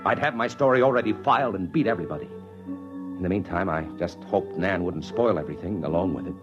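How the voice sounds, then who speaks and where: A man's voice: deep voice, fast, close to an everyday voice. An actor playing a desperate, driven reporter who tells his own story in an intense 1950s radio drama style. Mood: brooding. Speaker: actor narrating and playing the lead. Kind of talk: 1950s radio drama acting, first-person narration. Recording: dull 1950s radio recording with music.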